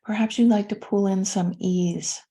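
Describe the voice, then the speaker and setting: Soft, mellow woman guiding meditation. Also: medium pitch, fairly even intonation. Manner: soft, gentle, soothing delivery with pauses. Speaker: meditation teacher. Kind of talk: guided meditation.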